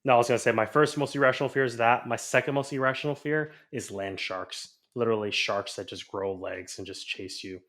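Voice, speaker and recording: A young man from Hawaii co-hosting a podcast, easygoing and quick to laugh. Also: mid-range voice, a bit bright. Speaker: podcast co-host. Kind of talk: casual chat.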